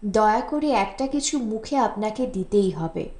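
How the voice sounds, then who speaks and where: A woman's voice: mid-range voice, expressive, measured pace, warm tone. A woman voicing a fussy, imperious older man hosting a party, hasty and insistent, in an audiobook. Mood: insistent urging. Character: fussy, imperious older society host, a friend's father. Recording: roomy, echoing voice recording.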